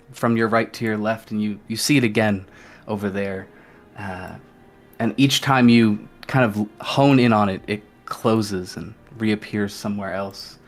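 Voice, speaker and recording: A game master narrating a tabletop roleplaying game in a descriptive, somewhat hesitant way. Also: mid-range voice. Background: faint music.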